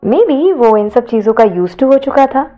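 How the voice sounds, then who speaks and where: A woman's voice: a little high, big pitch swings, slow, lo-fi sound, faint music behind. A woman narrator reading a scripted audio story.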